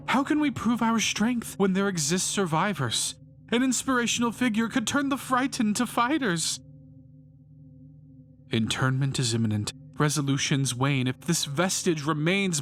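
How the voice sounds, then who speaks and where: A fanatical killer acted by a man narrates in slow, deliberate verse with grandiose zeal. His delivery is theatrical, like a dark audiobook monologue, and music plays clearly behind him. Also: high-pitched, heavily acted. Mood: intense, unsettled. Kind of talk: dramatic rhyming poetry audiobook narration.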